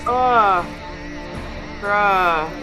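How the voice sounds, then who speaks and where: A woman's voice: mid-range voice, very slow, music in the background. A woman co-hosting a casual movie reaction, throwing in playful, wry comments.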